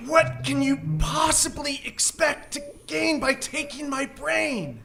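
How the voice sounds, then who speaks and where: Man playing a very high-pitched, panicky captive. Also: takes it slowly, creaky, full character voice. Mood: alarmed, indignant. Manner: anxious, exclamatory. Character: frightened, indignant captive hotel guest, husband. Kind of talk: live stage radio drama acting. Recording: live stage recording with faint noise.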